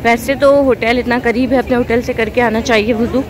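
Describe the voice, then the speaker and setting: A woman with a Pakistani Urdu accent gives a soft, devout, explanatory tour as she walks through a large crowded mosque, talking into a handheld phone camera. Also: on the high side, fairly even intonation, brisk. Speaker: vlogger. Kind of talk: walking tour vlog narration. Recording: noisy crowd ambience.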